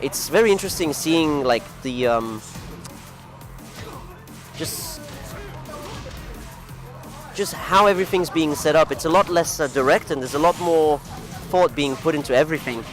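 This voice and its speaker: A man with a somewhat high voice commentates a tournament match in a loose, chatty way, rambling and poking fun at his own wording. English is not his first language. Music plays clearly behind him. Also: lively intonation, unhurried, pauses often. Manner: chatty, rambling, laughs at himself. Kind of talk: casual fighting game commentary. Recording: music playing loudly behind.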